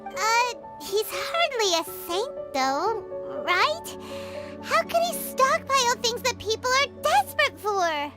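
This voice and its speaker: A woman voicing a tiny, excitable fairy girl companion in a video game, squeaky and childlike with a sing-song delivery. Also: slow pace, over loud music, heavily acted. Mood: indignant, questioning. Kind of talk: video game character voice acting.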